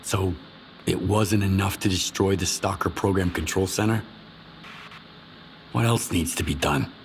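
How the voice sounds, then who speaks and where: A terse, deep-voiced stalker. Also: male voice, measured pace, slight background noise. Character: terse male stalker, guarded and questioning. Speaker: game protagonist.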